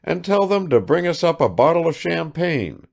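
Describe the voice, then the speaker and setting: A man reading aloud and voicing a lounging, self-indulgent young gentleman who speaks in a grand manner. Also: on the high side, sing-song, moderate pace, gravelly, pitched above the performer's own voice. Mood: self-satisfied.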